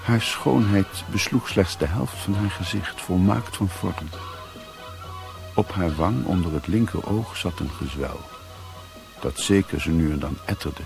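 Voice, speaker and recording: Weary traveller telling his story in a radio drama, deep voice, slow solemn literary delivery. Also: expressive, vocal fry, music in the background, heavily acted. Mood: sombre, brooding. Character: weary, sombre adventurer recounting his journey. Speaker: narrator.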